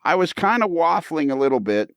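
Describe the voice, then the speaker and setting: A man's voice: fairly high pitch, very animated, fairly quick, smooth, dry recording, clean studio sound. A man hosting a casual podcast chat, folksy and teasing, often restating what he has just said. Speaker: podcast host.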